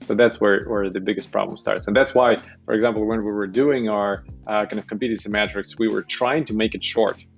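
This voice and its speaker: A man's voice: lowish voice, fairly even intonation, brisk, vocal fry, few pauses, faint music behind. A man speaking as a panel guest, conversational, with hesitant phrasing and frequent tag questions seeking agreement. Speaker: panel guest, product management director.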